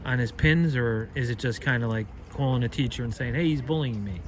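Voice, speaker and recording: A man's voice: medium pitch, fairly quick. Conversational man interviewing on the street. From United States. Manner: probing, conversational questioning. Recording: street noise.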